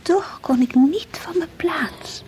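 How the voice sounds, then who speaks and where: An actress playing an earnest, devout, brooding schoolgirl in a radio drama, confiding intensely in a husky, breathy voice. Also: high-pitched, animated, slow, darkish tone, slight background noise. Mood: hushed, awed. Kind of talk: radio drama acting with diary-like narration.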